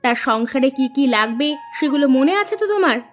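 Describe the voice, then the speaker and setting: A woman playing a bossy, practical village housewife in a Bengali cartoon, talking briskly and scoldingly. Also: sits high, lively intonation. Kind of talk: Bengali moral-story cartoon dubbing. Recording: dull lo-fi track with music.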